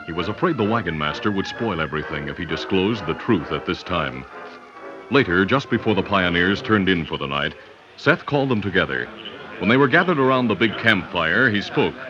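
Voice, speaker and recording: A man's voice: deep voice, animated, brisk. A man narrating a scripted old-time radio western adventure in a dramatic announcer's delivery. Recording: dull old radio recording with music.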